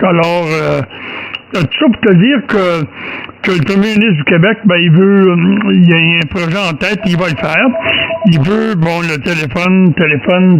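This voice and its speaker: A Quebec man with a French-Canadian accent talks casually over amateur radio. His voice is high and his delivery halting, with many restarts. A faint noise sits behind him. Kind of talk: casual ham radio chat.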